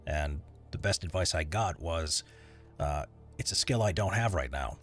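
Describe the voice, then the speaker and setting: A man's voice: low-pitched, lively intonation, unhurried, a bit bright, soft background music. A man streaming while he edits voiceover audio, chatting loosely with his viewers and rambling between tasks. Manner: rambling, chatty, answers chat while working. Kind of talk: casual live-stream chat.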